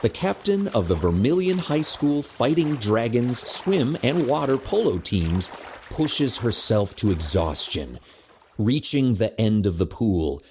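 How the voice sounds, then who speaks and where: Guy narrating an audio drama, lowish voice, lots of swing, some noise behind. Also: sing-song, measured pace, darkish tone.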